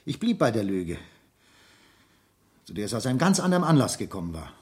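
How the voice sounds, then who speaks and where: An actor playing a formal, anxious businessman under suspicion in a 1970s German radio crime drama, speaking deliberately and defensively. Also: lowish voice, very animated, slightly rough, exaggerated voice. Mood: anxious, confessing.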